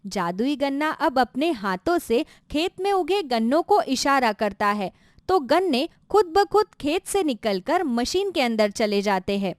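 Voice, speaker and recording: A woman's voice: high-pitched, big pitch swings, a little slow, dry recording, clean studio sound. A woman narrating a scripted children's moral story as a storyteller.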